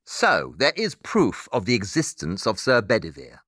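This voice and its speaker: Very animated pitch, dry close sound, man acting as shrewd detective, clipped and self-assured, audio drama. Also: moderate pace, no room sound, exaggerated voice. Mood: inquisitive, assured.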